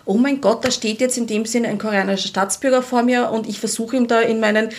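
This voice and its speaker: A woman's voice: on the high side, steady tone, fairly quick. Self-reflective woman in casual conversation. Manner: self-reflective, telling anecdotes with quoted inner dialogue. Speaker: podcast guest, language learner.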